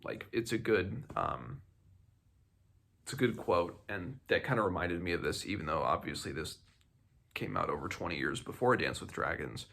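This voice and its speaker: A man hosting a book read-along, giving casual commentary in a conversational, halting way as he thinks aloud. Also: low voice, gravelly, a bit bright.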